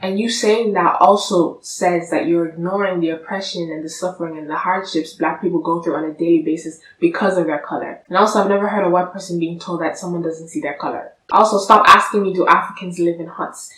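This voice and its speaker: A woman's voice: on the low side, fairly even intonation, fairly quick, hardly any pauses, fairly bright tone. Young woman lecturing the camera, kinda sassy and blunt, echoey room, mic a bit far off. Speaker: vlogger. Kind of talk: direct-to-camera vlog. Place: room indoors, seated on a sofa. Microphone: camera at a distance.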